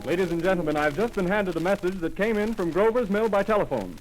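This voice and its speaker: A grave, high-voiced 1930s radio announcer. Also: male voice, lively intonation, fast talker, over loud music, rough recording. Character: grave adult male radio announcer reading news. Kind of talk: 1930s radio drama acting. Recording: hissy 1930s radio broadcast.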